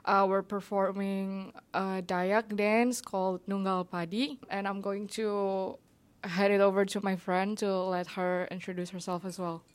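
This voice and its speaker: A young woman from Indonesia. She is an exchange student who introduces herself briefly, with small "uh" pauses. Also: medium pitch, level tone, clear, resonant tone. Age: young adult.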